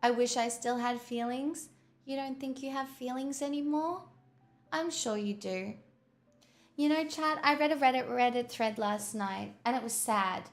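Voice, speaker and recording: A woman's voice: a little high, unhurried. A young woman streamer chatting casually and rambling to her viewers. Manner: chatty, rambling, talks to chat.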